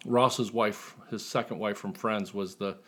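A man's voice: fairly low pitch, steady tone, a little slow, husky. A man talking casually as a guest author in an interview, with a joking, self-deprecating manner.

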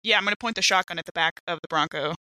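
A woman's voice: fairly low pitch, rapid-fire, bright, forceful tone, dry recording. A woman chatting casually and playfully as a player at a tabletop game.

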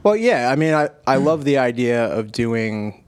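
A man hosting a podcast, chatting casually in an easygoing, halting way. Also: medium pitch, lively intonation, no room sound. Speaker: podcast host, musician.

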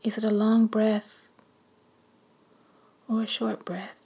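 Woman meditation guide, breathy whisper, very slow and calm. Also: medium pitch.

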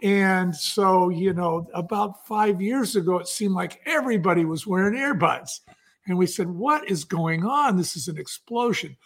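High-pitched, enthusiastic male storyteller. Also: moderate pace, a bit bright. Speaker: guest entrepreneur.

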